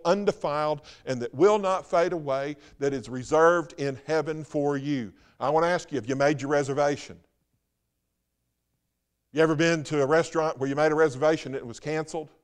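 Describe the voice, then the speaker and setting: A man preaching a Sunday sermon as pastor, firing rhetorical questions, then dropping to a hushed, slow voice for emphasis. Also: on the high side, clear, resonant tone. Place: church sanctuary.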